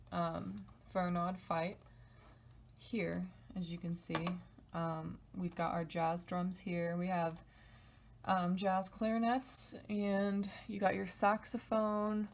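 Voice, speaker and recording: A woman's voice: on the low side, slight background noise. A woman giving a casual behind-the-scenes walkthrough as a composer, explaining things in an informal, slightly hesitant way. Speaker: podcast composer. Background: music demo clips playing.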